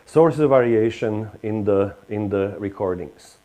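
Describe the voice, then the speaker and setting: A man's voice: lowish voice, measured pace, mellow, muffled recording. A man with a Slovak accent gives a university lecture, talking in a hesitant way with frequent restarts and fillers. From Western Slovakia.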